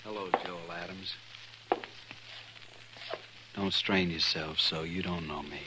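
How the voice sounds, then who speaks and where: An actor playing a stubborn, quietly bitter man bent on revenge, grave and deliberate, in a noisy 1950s western radio drama. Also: sits low, steady tone. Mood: quiet menace. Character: brooding, stubborn male avenger, quietly bitter and menacing.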